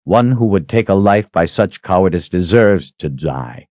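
A man's voice: deep voice, animated, normal speed, a little mellow, dry recording, muffled recording. A man voicing a cold, defiant fantasy hero in an audiobook, taunting and intense. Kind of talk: dramatic audiobook character acting.

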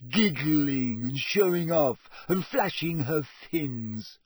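A man voicing a mournful, touchy ghost girl in a storybook, with a drawn-out, whiny, shrill delivery. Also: expressive, slight background noise. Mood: sneering. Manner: drawn-out, whiny, wailing when upset.

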